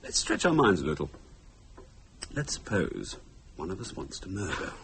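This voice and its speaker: Wry, animated low-voiced husband. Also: male voice, normal speed, slight background noise, heavily acted. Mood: playful, speculative. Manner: wry, talkative, reasoning aloud. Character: glib joking husband, articulate and teasing. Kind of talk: radio drama acting.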